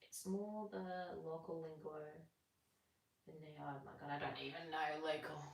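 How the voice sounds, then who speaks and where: A woman's voice: low-pitched, steady tone, normal speed, gravelly. A young British woman chatting casually on a live stream while she stretches, rambling and answering comments. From Britain. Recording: echoey room, distant from mic.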